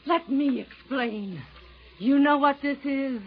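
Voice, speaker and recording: A resentful wife drags out her accusations slowly in a high, tight voice. The old radio recording sounds dull and muffled. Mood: furious. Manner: bitter, accusing. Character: resentful neglected wife of a scientist. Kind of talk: 1980s radio drama.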